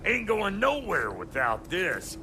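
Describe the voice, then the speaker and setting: Game role: crude, brash dwarf, highish gravelly voice, very animated folksy grumbler. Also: male voice, slow, very noisy, exaggerated voice. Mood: brash, needling. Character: crude, cranky, wisecracking dwarf craftsman. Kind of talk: video game character acting.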